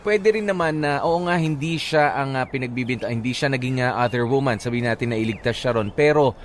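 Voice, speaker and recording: A man's voice: mid-range voice, fairly quick. Male Filipino co-host, mid-range voice, thoughtful casual relationship commentary over audible background noise. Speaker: radio co-host.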